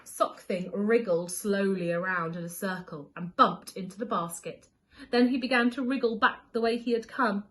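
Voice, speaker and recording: A woman's voice: medium pitch, normal speed, a bit bright. A woman reading a children's story expressively as a school storyteller, leaning on key words for young listeners.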